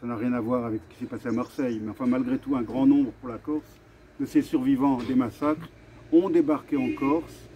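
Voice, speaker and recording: A man hosting an earnest commemorative address to camera, speaking deliberately with halting pauses and repetitions. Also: medium pitch, fairly even intonation, crowd noise. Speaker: video host and association vice-president. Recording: dull, muffled lo-fi sound.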